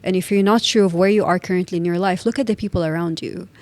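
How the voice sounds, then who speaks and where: Woman in her 30s, from the emirates, podcast guest, earnest and reflective, lowish voice, dry close sound. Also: fairly even intonation, darkish tone, dry recording. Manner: earnest, thoughtful, speaks in measured repeated phrases.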